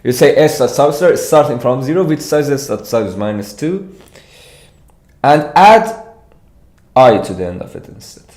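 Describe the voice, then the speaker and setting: A man with a Persian accent teaches programming in an informal livestream style. He takes his time, haltingly working through his thoughts aloud. His voice rings off the walls of an echoey room. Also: medium pitch, animated, darkish tone. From Iran. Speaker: competitive programming instructor. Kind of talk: informal livestreamed problem walkthrough.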